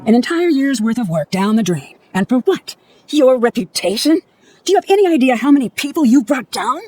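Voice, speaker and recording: A woman's voice: sits high, very animated, fairly quick, dark tone, soft background music. A woman playing a resentful, accusing young student in a video game, scornful and dramatic. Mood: outraged. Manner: scornful, reproachful.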